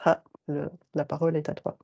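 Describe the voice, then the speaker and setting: A woman's voice: deep voice, completely flat delivery, a little fast, gravelly, darkish tone, husky. A woman moderating a webinar, thanking speakers and giving polished introductions. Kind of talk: formal moderation. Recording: muffled lo-fi video call audio.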